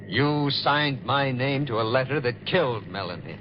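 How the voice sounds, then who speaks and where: An actor playing a sinister, bitter man who torments a woman with theatrical, deliberate taunts in an old-time radio melodrama. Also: medium pitch, animated, lo-fi sound, background noise, natural voice. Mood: bitter, grim.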